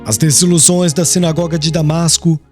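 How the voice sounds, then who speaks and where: A man narrating an audiobook in a measured, expressive storytelling manner. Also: fairly high pitch, soft background music.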